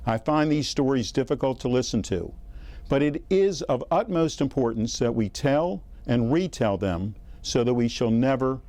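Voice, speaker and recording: A man delivering a scripted introduction at a measured, solemn pace. His pitch sits in an ordinary middle range, and the delivery stays formal and steady throughout. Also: no room sound. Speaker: county council member introducing the program.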